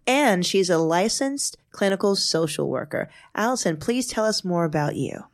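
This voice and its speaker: A middle-aged woman hosts a podcast from a studio, and her voice sits a little low. She talks in a bubbly, effusive way and laughs often with her guest. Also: lively intonation, moderate pace. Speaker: podcast host. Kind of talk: casual podcast chat.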